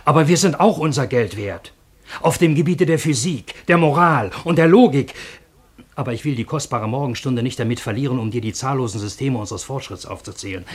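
An eloquent, indignant gentleman in a radio play. His voice is husky and medium in pitch. He pleads with formal, rhetorical passion. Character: eloquent, indignant male bar guest pleading with a warrior. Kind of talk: German radio play acting.

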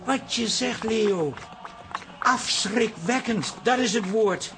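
A man's voice: high voice, slow, background noise. An actor playing a mild, practical Dutch man in a comic radio play, conversational and slightly hesitant.

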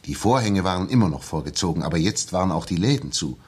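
A shaken ex-soldier in a sixties radio play. His husky, fairly low voice moves widely in pitch as he narrates earnestly and haltingly. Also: lively intonation. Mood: earnest, suspenseful. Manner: earnest, hesitant, repeating words when agitated. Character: earnest, shaken young English ex-soldier telling his story. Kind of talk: 1960s German radio drama acting, dramatic narration.

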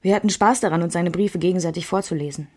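Actress as self-assured Beat-circle woman, lowish voice, mellow, intimate, measured, radio play memoir. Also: no room sound, clean studio sound, close to an everyday voice. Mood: reflective. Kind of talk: literary radio play narration with intimate asides.

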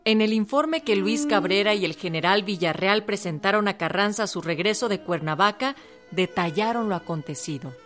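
A woman giving clear, measured scripted narration for a radio drama. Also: mid-range voice, expressive, music in the background.

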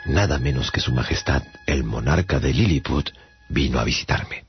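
A shipwrecked adventurer recounting his travels for a radio drama. His very low voice is theatrical and wry, a bemused man telling a tall tale. The sound is a little dull, with music underneath. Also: normal speed. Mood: wry, reflective. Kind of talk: dramatized first-person radio narration.

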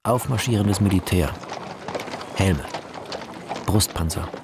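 A man narrating a radio play as a series of film scene directions, measured and clipped, with a creaky voice. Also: deep voice, background noise.

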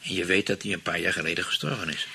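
An actor playing a hesitant, sheepish spendthrift husband in a radio play, in a conversational Dutch accent from the Netherlands. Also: male voice, low-pitched, fast, vocal fry, pushed and bright, breathy edge, heavily acted. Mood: hesitant, brooding. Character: spendthrift husband fretting over an aunt's inheritance.

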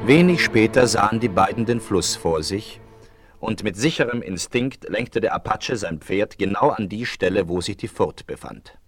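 A male narrator tells a western adventure in a very low voice. He is measured and literary, in seventies radio play style, with music underneath. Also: expressive.

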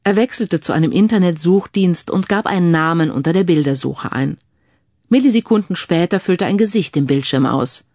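Female audiobook narrator for detective fiction, lower register, scripted delivery, dry close recording. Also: normal speed, darkish tone, dry recording. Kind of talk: scripted crime-story narration.

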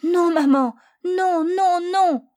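A woman's voice: high voice, steady tone, normal speed, a little mellow, pitched above the performer's own voice, natural voice. A woman voicing a tender-hearted, stubborn little boy who asks earnest, pleading questions. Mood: sobbing.

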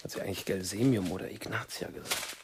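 A man's voice: low-pitched, fast, noisy background, natural voice. An actor playing an exasperated, opinionated man in a German radio play, speaking in an agitated, emphatic way. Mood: indignant, anxious. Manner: agitated, emphatic, repeating himself indignantly. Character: exasperated, opinionated man fretting over a friend.

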